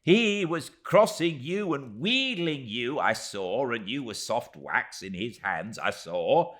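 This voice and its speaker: A man's voice: mid-range voice, lively intonation, slow, fairly bright tone, voice pushed up. A man reading a storybook and voicing a tiny, emphatic, indignant middle-aged woman in distress. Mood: indignant, anguished.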